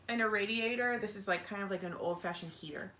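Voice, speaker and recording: A woman's voice: mid-range voice, fast. A woman teacher reading a picture book aloud to children, expressively, with casual explanatory asides. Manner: expressive, explains to young listeners. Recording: roomy, echoey home recording.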